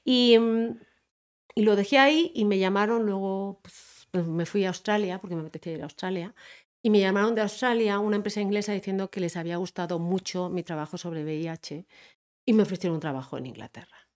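A woman from Spain chatting as an interview guest, telling animated stories and quoting other people. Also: mid-range voice, brisk. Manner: animated storyteller, quotes others, laughs often. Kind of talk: casual interview chat.

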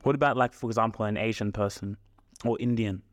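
A young man in casual conversation, asking questions and thinking out loud. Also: on the low side, fast, no room sound. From Australia. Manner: questioning, reflective. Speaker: podcast co-host, son.

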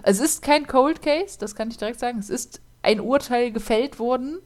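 A woman's voice: on the high side, normal speed, darkish tone. Chatty woman podcaster with a lilt. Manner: chatty, laughs often, many fillers. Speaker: podcast co-host telling a true crime case. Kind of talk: casual podcast chat and case narration.

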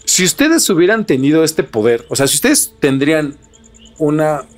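A man's voice: on the high side, sing-song, normal speed. A Mexican man hosting a casual podcast, repeating and rephrasing his phrases for emphasis. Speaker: podcast host.

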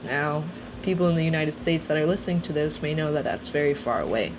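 Young woman, low voice, earnest podcast monologue, noisy background. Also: fast. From Russia. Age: 20s. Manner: earnest, reflective, steady delivery. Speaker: podcast host.

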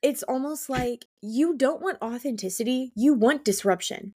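A woman's voice: high voice, normal speed, darkish tone, husky. A woman in casual book discussion as a co-host, talking informally with exclamations and plenty of "like".

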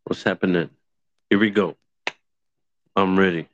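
A man's voice: low-pitched, steady tone, a little slow, dry recording. A man co-hosting a casual, unscripted chat over a dull, lo-fi microphone.

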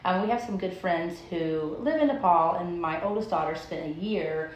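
A woman's voice: fairly low pitch, moderate pace. A woman hosting a casual interview, chatty and curious as she asks her guest questions. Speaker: podcast host. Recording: echoey room.

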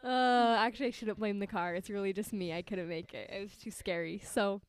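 Brief: woman host, bubbly and chatty, quick laughs, keeps restarting her sentences. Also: mid-range voice, steady tone, clear, resonant tone. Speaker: podcast host. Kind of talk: casual interview chat. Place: friend's house.

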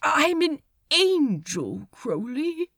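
A woman acts out a gentle, fussy male angel for an audiobook story. The voice sits high and moves up and down in a lively way. It is courteous, earnest and a little hesitant. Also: very slow, vocal fry, no echo, studio quality, full character voice. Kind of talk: audiobook character voice.

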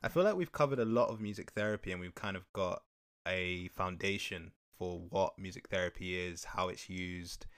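A man's voice: deep voice, moderate pace, a bit bright. A man hosting a podcast, asking a guest about her story in a casual, encouraging way. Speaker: podcast host.

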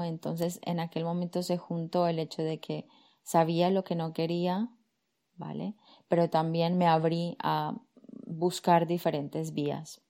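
A woman, a career coach calmly telling her own story on a podcast, soft fillers between thoughts. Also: deep voice. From Colombia. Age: 30s. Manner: calm, reflective, with frequent soft fillers. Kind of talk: personal storytelling monologue.

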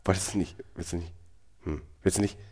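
A hoarse man in his thirties co-hosting a podcast, joking casually and poking fun at himself. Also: low voice, steady tone, brisk, a little mellow. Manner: self-deprecating, joking. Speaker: podcast co-host.

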